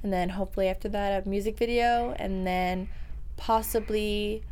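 A woman's voice: mid-range voice, fairly even intonation, normal speed, clear, resonant tone. Chatty young woman singer. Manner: chatty, many fillers, bursts of laughter; loud voice. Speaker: singer being interviewed. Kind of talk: casual interview chat.

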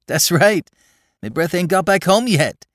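Man putting on a high New York street crook, excitable, slangy, loud, bouncy, dry sound. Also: unhurried, no echo. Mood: excited. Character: cheeky young male Bowery crook, excitable.

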